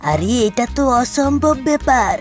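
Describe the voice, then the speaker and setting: Woman voicing a high-pitched, eager cartoon husband. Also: big pitch swings, normal speed, a little mellow. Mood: cheerful, boastful. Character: eager, henpecked newlywed husband, comic cartoon animal. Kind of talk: Bengali cartoon voice acting. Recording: music under the voice.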